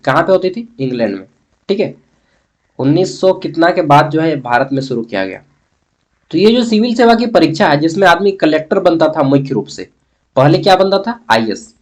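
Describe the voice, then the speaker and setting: Higher-voiced Jharkhand man teaching informally. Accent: Jharkhand Hindi. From Jharkhand, India. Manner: asks questions and answers them himself; colloquial, chatty, addresses students directly. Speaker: history teacher for college students. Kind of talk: informal exam-prep lesson.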